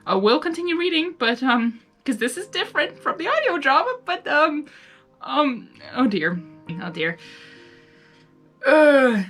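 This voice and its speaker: A woman's voice: high voice, sing-song, normal speed, bright, forceful tone. Casual woman host, faint music behind. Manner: gushing fan enthusiasm, informal. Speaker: fan fiction reader and channel host. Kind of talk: casual reaction chat between readings.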